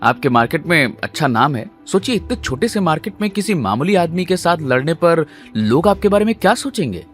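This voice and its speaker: An actor playing a calm, defiant and modest young man in an audio drama, reasoning with composure. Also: fairly high pitch, a little fast, soft background music. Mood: firm, defiant.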